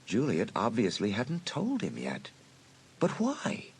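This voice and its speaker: A man narrating a dramatised audiobook in an expressive storytelling manner. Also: mid-range voice, slow, slight background noise.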